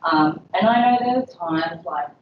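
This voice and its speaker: Woman, low voice, chatty presenter with lots of fillers, echoey room, recorded from far off. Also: expressive, a little slow, background noise. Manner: conversational, lots of ums and you-knows. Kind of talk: educational talk to health staff. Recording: dull, echoey room audio from afar.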